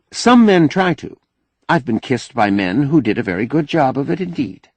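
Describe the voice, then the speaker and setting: Man voicing an emphatic woman, pitched up high. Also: darkish tone, no room sound. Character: frank, dreamy adult woman, teasing and emphatic. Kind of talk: audiobook character dialogue.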